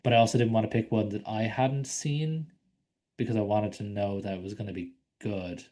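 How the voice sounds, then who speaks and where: A man with a lowish voice talks through films on a casual podcast. He rambles along with plenty of ums and likes, and he swears freely. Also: steady tone, moderate pace, mellow. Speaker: podcast co-host. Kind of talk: casual film discussion.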